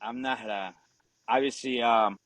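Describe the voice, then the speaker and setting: A man's voice: medium pitch, steady tone, unhurried, crowd noise. A man, telling his story casually and informally as a guest. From Ecuador. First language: Spanish. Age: 40s. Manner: informal, frequent "you know" and "obviously", swearing. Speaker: podcast guest, Marine veteran.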